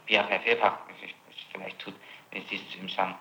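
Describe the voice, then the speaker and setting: Sharp-voiced male interrogator in echoey room. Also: low-pitched, flat delivery, fast, vocal fry. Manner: pressing, persistent questioning. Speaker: prosecutor questioning a suspect. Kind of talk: formal interrogation. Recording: echoey, noisy archival tape recording.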